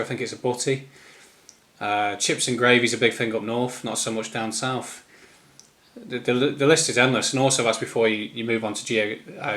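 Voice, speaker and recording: A man with an English East Midlands accent giving an informal, unscripted opinion monologue in a rambling, halting manner. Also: medium pitch, a bit bright. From East Midlands, England. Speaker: solo commentator.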